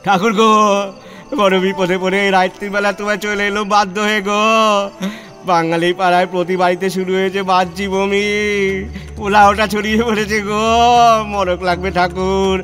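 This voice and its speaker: A man playing a desperate rustic villager in an audio play, pleading with drawn-out calls. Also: sits high, flat delivery, fairly bright tone, faint music behind, pitched above the performer's own voice.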